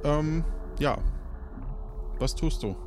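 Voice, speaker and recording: A man narrating an improvised story as game master, deliberate and given to dramatic pauses. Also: a little high, expressive, slight background noise.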